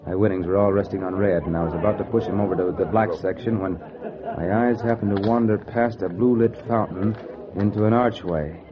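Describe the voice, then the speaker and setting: An actor playing a cocky, money-hungry gambler in a 1940s radio noir, with clipped, wry, slangy delivery. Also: male voice, on the low side, fairly even intonation, brisk, a crowd in the background, natural voice. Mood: wry, tense. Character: hard-boiled, cocky male gambler, broke and money-hungry. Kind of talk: 1940s radio noir acting, first-person narration. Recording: dull vintage radio recording with music. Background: music.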